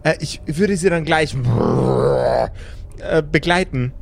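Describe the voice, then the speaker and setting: A man's voice: on the high side, slow, vocal fry, husky, full character voice. A man voicing a polite, formal female zombie clerk in an improvised role-playing audio play, speaking with some hesitation. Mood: courteous, flustered.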